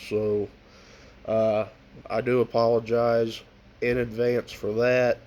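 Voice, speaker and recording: A man's voice: medium pitch, level tone, darkish tone. A man with a stuffy nose from a cold, chatting casually in a vlog, showing off his book collection with plenty of ums and uhs. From United States. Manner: slow and unhurried, with frequent ums and uhs.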